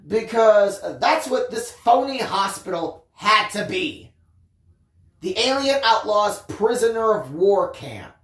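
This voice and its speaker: A storyteller reading a first-person tale expressively, with theatrical emphasis and drawn-out phrases. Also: medium pitch, lively intonation, roomy sound. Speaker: audiobook reader, story time host.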